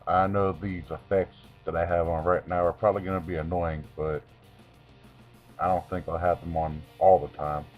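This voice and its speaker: A man with a low voice delivers his own short-story material in a deliberate, theatrical manner. The sound is dull and lo-fi, with faint guitar music playing behind him. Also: little pitch movement. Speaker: video creator reading own short stories. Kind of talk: dramatic reading of a comic short story.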